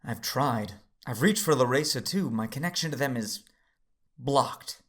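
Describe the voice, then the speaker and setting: An actor playing a steady, weary Jedi father who narrates his own story in an earnest, measured audio drama style. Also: medium pitch. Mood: somber, reassuring. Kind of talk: first-person dramatic narration and acting.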